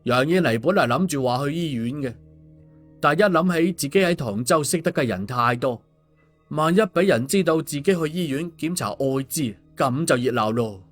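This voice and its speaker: A man's voice: a little high, animated, normal speed. Male storyteller over faint music. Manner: colloquial, with sentence-final particles. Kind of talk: scripted audiobook narration.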